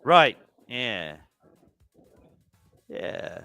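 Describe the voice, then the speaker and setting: Lively, slow-talking male co-host. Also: medium pitch, vocal fry, no room sound, soft background music. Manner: laughs a lot, greets the chat and riffs on the others' jokes. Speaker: stream co-host. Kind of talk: casual live-stream chat.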